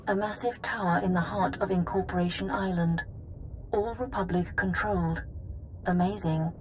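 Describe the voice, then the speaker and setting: A woman's voice: fairly low pitch, a little mellow, slight background noise, natural voice, rough recording. A woman voicing a solemn servant of a secretive order in a video game, speaking in a clipped, formal, detached way.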